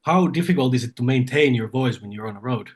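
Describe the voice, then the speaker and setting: A man's voice: medium pitch, animated, fast talker. A man interviewing someone, asking questions in a casual conversational style.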